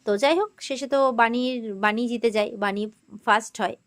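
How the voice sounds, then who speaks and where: A woman's voice: on the high side, normal speed. A woman with a West Bengal accent giving a casual, chatty spoken recap of a TV serial, slipping into quoted dialogue as she tells it. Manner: chatty, informal storytelling with quoted exchanges. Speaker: host of a TV serial recap channel.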